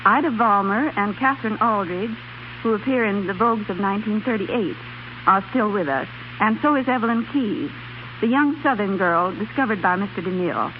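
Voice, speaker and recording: A dull old broadcast with clear static carries a middle-aged woman. She is a formal radio guest, answering in an orderly, scripted 1930s interview style. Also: medium pitch, normal speed. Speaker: club director, radio guest.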